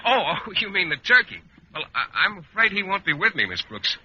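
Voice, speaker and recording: An American actor playing a shy, earnest bachelor schoolteacher in a vintage radio comedy, hesitant, polite and a little naive. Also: male voice, sits high, big pitch swings, fairly quick. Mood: bashful, polite. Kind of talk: 1940s-50s radio comedy acting. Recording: very noisy, muffled old radio recording.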